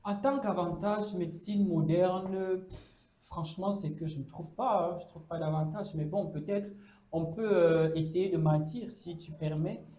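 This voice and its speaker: A man's voice: high-pitched, a little slow, dark tone. A man, chatting as a guest on a campus show, hesitant, with many fillers. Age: 30s. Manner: hesitant, many fillers, acts out quoted speech. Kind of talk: casual debate on a campus show. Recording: echoey room.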